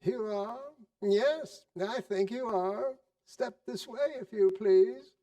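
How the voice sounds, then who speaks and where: Guy doing a grumpy old boss putting on sternness, storybook theatrics, highish voice, distant mic. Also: warm tone, no echo. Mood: mock stern. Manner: scolding, deliberate, theatrical. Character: mock-stern old boss feigning a growl. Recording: distant microphone.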